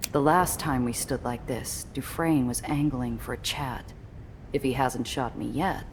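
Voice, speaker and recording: Very low-voiced, gritty noir heroine. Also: female voice, a little mellow, slight background noise. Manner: hardboiled, dramatic delivery. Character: gritty, defiant female noir adventurer, narrating her own peril. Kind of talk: scripted hardboiled noir first-person narration.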